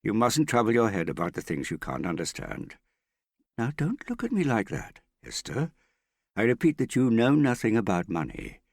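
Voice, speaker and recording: A man's voice: low-pitched, very animated, normal speed, gravelly, husky, dry recording, studio quality, voice pushed up, heavily acted. A man voicing a vain, theatrical middle-aged father in an audiobook, wheedling and self-pitying with a pompous, affected way of talking. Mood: impatient, agitated. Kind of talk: audiobook character dialogue.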